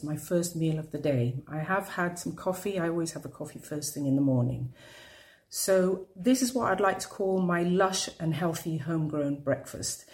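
A woman's voice: fairly low pitch, a little mellow. A woman recording an informal personal message as a radio listener. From southeast London, UK. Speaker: listener contributor.

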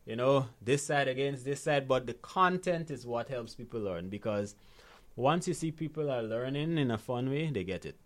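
A man with a Guyanese accent talks like a motivational coach in an interview. His delivery is conversational and emphatic, circling back to repeat key phrases and often checking that the listener follows. The pitch sits in an ordinary middle range for a man. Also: animated, moderate pace, few pauses. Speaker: financial literacy coach, interview guest.

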